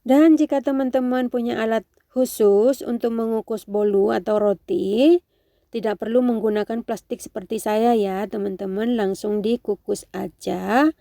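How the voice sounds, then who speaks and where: A woman with a nasal, congested voice from a cold gives a casual cooking tutorial, gently instructing her viewers. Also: on the high side, steady tone, moderate pace, gravelly, no echo, clean studio sound. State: has a cold. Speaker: home cooking video host.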